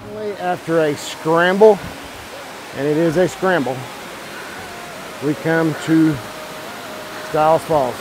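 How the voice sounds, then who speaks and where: A man in his forties chats easily while he hikes, his voice a touch higher than most men's. He holds the phone at arm's length on a wooded trail. The sound is outdoors, with clear rushing water and wind noise behind him. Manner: folksy, easygoing, talks while walking. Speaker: hiking vlog host. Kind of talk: casual trail vlog. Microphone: phone camera at arm's length.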